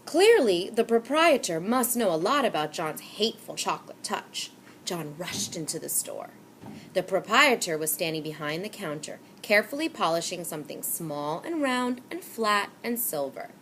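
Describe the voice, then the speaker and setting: A woman reading a children's novel aloud with expressive storytelling. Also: lowish voice, moderate pace, a little hiss or hum. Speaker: reader.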